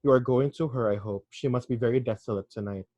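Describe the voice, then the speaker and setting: A man voicing a self-loathing, weary young English gentleman in a measured, grave reading. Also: medium pitch, no echo, close to an everyday voice. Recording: dry, slightly dull recording.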